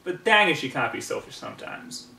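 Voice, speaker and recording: A man's voice: mid-range voice, very animated, fairly quick, vocal fry, sharp tone, full character voice. A man voicing a blunt, folksy farm mare, a protective big sister, in a storybook-style reading. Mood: annoyed. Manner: folksy, blunt, drawling rural wording. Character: plainspoken, no-nonsense country mare, a protective older sister. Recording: roomy, distant mic, faint noise.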